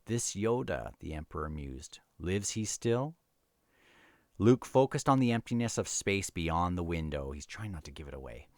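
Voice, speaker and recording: A man's voice: a bass voice, a little fast, few pauses, dry recording, clean studio sound. A man casually discussing a book and reading passages aloud, often stumbling and repeating phrases as he goes.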